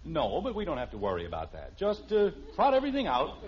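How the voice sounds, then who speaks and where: A man's voice: fairly high pitch, huge pitch swings, fast. A man playing a flustered middle-aged American father in a radio sitcom, hesitant and stammering. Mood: flustered, eager. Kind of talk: 1950s radio sitcom acting. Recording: muffled old radio recording, faint noise.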